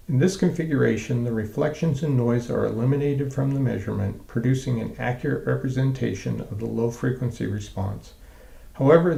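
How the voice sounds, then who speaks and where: A man presenting scripted technical training in a measured, explanatory way with careful enunciation. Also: mid-range voice, creaky, mellow, reverberant. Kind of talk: scripted instructional narration.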